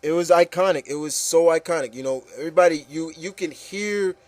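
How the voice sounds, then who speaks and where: A man's voice: fairly high pitch, brisk, no echo. A man hosting a casual panel chat about movies, talking in a rambling, enthusiastic way and often saying "you know". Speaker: host of an opinion show.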